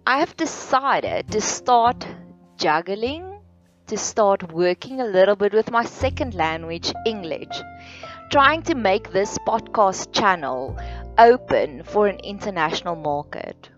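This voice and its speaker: A woman from South Africa with an Afrikaans accent, hosting a solo podcast in a slow, earnest, deliberate way with many pauses. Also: mid-range voice, lively intonation. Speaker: podcast host. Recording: dull lo-fi sound with faint music.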